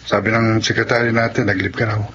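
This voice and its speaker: A man's voice: on the low side, little pitch movement, quick pace, background noise, natural voice. A man playing a concerned, easily flustered young Filipino friend, talking colloquially in a muffled radio drama.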